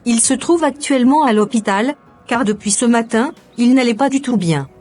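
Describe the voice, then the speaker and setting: A woman's voice: a little high, lively intonation, moderate pace, darkish tone, soft background music. A woman voicing a worried preacher's wife. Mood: concerned.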